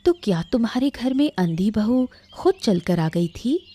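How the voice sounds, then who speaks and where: A woman's voice: on the high side, sing-song, unhurried, mellow, dry recording. A woman voicing an authoritative, fair-minded queen in a cartoon story, speaking firmly and directly. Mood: firm, reproving. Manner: firm, direct. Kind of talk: Hindi cartoon story voice acting.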